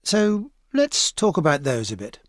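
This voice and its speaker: An actress playing an experienced tutor advising a student in a scripted exam dialogue, calm and measured. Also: female voice, sits low, no echo, heavily acted. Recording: dry studio recording.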